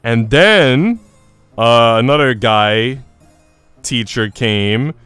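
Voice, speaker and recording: Man, smooth clear voice, casual streamer chat, slangy and sweary, joking, dry close sound, music behind. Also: medium pitch, drawn-out words, a bit bright, no echo. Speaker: live streamer. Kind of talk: casual chat while streaming a visual novel.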